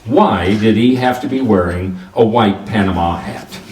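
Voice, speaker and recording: An actor playing a cool, self-assured private detective in the manner of 1940s radio drama, narrating and sparring wryly. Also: male voice, on the low side, measured pace, heavy background noise, natural voice. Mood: weary wry. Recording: roomy echo, distant from the microphone.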